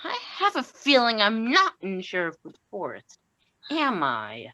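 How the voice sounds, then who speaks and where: Young woman acting swaggering outlaw in a comedy play, slow delivery, pitch swings around a lot. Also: huge pitch swings, sharp tone, heavily acted. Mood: puzzled. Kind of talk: comic stage acting over video call.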